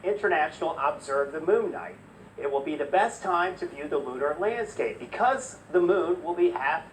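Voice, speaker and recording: A man conducting a conversational broadcast interview as a news presenter. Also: a little high. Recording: distant mic with faint noise.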